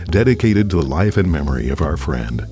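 A man with a very deep voice reads a scripted outro for the show. He announces in a measured, formal way. Faint music plays underneath. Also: big pitch swings, warm tone.

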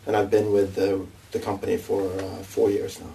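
A man's voice: deep voice, completely flat delivery, moderate pace, vocal fry, warm tone, recorded from a distance, a little hiss or hum, rough recording. A young man with a Norwegian accent informally introducing his career as a podcast guest. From Norway. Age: young adult. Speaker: podcast guest, investment analyst.

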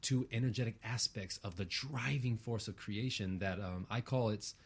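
A man's voice: on the low side, moderate pace, breathy edge. A man speaking as an interview guest in a reflective, earnest way, using abstract spiritual phrasing and often checking for agreement.

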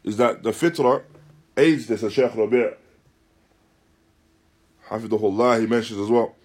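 A man with a slightly husky voice teaching an Islamic lesson in deliberate, halting phrases, restating points with emphasis and reciting Arabic. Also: on the low side, fairly even intonation, darkish tone. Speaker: religious teacher giving a lesson. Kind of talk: Islamic lecture explaining a text, with Arabic recitation. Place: live-streamed lesson at an Islamic centre.

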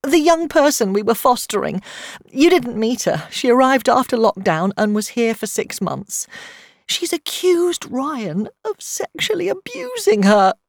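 A woman's voice: fairly high pitch, sing-song, very slow, creaky, hardly any pauses, a little mellow, no echo, clean studio sound, voice pushed up, heavily acted. A woman voicing a distraught foster mother on the phone in an audiobook, her voice trembling and emotional. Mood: tearful, shaken. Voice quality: trembling, breaking with sobs. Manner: emotional, indignant outbursts.

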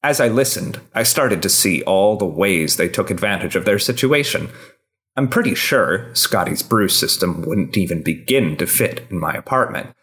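A man's voice: low voice, animated, normal speed, a bit bright. A man hosting a podcast and reading a scripted personal essay aloud.

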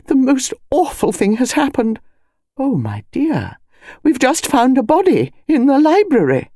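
A woman voicing a breathless, gushing, excitable upper-class older lady in an audiobook. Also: medium pitch, moderate pace, no room sound, clean studio sound, voice pushed up. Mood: agitated. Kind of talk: audiobook character voice.

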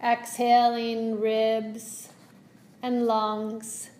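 Woman doing guided yoga nidra, soft gentle delivery, really slow w long pauses, pretty flat melody. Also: a little high. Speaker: yoga nidra meditation teacher. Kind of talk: guided meditation.

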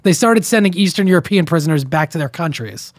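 A man's voice: fairly high pitch, expressive, fast talker, no echo, studio quality. A young man co-hosting a podcast, reading listener emails aloud and breaking in with his own comments. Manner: reads emails aloud with running commentary, frequent restarts. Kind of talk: casual podcast chat.

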